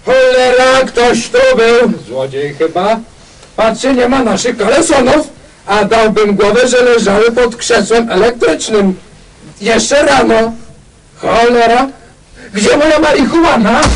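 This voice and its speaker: Grumpy eldest dwarf, shrill and cursing, slow and distant. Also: male voice. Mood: indignant. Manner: cursing, indignant exclamations. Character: eldest male dwarf, big, grumpy, swearing.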